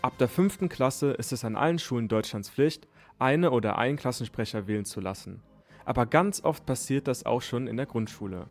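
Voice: mid-range voice, expressive, brisk. A radio presenter reading scripted narration in German for a report. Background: music.

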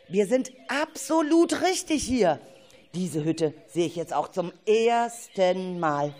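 A woman's voice: fairly high pitch, a little mellow. A woman playing a caring adult guide of a children's forest hike in a radio play.

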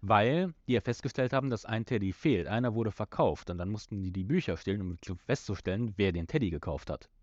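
Male podcast host, casual discussion, halting delivery, dry and slightly muffled recording. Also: fairly low pitch, animated, dry recording. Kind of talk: casual discussion of an audio drama episode. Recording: slightly dull, lo-fi sound.

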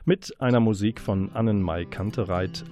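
A man's voice: fairly low pitch, moderate pace, over music. A man hosting a radio book show, presenting and interviewing in long, elaborately worded sentences.

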